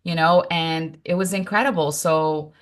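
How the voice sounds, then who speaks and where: A woman from Morocco whose first language is Moroccan Arabic, telling a family story as a podcast co-host in an animated, emphatic way with frequent laughs. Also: sits low, measured pace. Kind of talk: casual storytelling chat.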